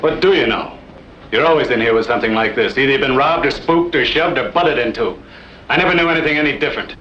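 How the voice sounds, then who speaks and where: A man's voice: mid-range voice, fast talker, lightly acted. An actor playing an irritable, sarcastic frontier lawman, scolding and dismissive, in an old-time radio western. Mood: irritated. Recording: muffled, echoey, noisy old radio recording.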